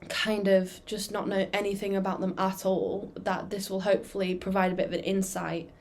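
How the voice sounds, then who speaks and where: A woman's voice: medium pitch, little pitch movement, moderate pace, darkish tone. A twenty-one-year-old woman introducing her documentary in a casual, chatty way, with frequent "um" fillers. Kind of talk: casual self-introduction to camera.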